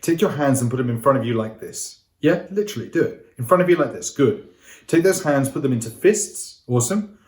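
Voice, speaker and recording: A man giving an energetic, interactive lesson, coaxing listeners to join in and speaking to them directly. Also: medium pitch, brisk. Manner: upbeat, coaxing, addresses viewers directly. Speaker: teacher. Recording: roomy echo.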